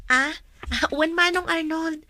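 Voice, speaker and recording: A woman's voice: very high-pitched, normal speed, sharp tone, slight background noise. An actress playing an earnest, polite young woman student in a radio drama, speaking with a Filipino accent. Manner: polite, deferential. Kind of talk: Ilocano radio drama acting.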